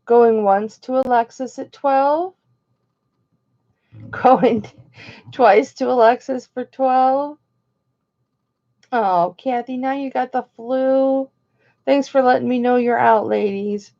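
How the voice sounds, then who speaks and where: Slightly hoarse gravelly lady doing a chatty live auction, slow and kinda high, muffled stream sound. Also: mellow. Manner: chatty, greets viewers by name.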